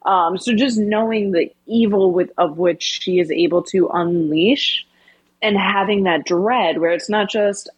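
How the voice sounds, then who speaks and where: A woman's voice: mid-range voice, measured pace, slightly rough, no room sound. A woman co-hosting a casual discussion podcast, chatty and self-deprecating, sometimes losing the thread of a sentence. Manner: chatty, self-deprecating, loses her sentence then laughs it off. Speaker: podcast co-host.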